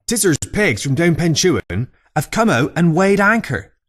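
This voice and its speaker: A man voicing an old Cornish fisherman telling a tall pub tale in animated, exclamatory dialect. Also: on the high side, a little slow, voice pushed up, full character voice. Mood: excited, astonished.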